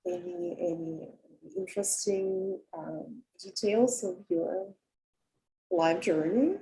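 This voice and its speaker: A woman's voice: lowish voice, slow, mellow, low-quality recording. A woman hosting an interview who asks her guest encouraging questions. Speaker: interview host. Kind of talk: casual interview conversation.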